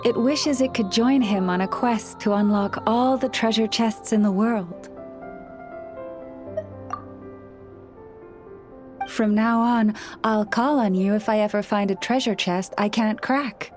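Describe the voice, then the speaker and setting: A woman's voice: medium pitch, natural voice. Woman as a courteous, scholarly young priestess, music behind. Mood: calm, reassuring. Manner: formal, courteous, measured. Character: gentle, scholarly young woman, a nature-loving moon priestess. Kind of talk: video game voice acting. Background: background music.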